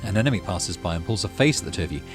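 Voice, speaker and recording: A man with a low voice and lively intonation narrates a game's story. He slips between expressive reading and offhand let's play commentary, with faint music behind him. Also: rapid-fire. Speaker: gaming channel host.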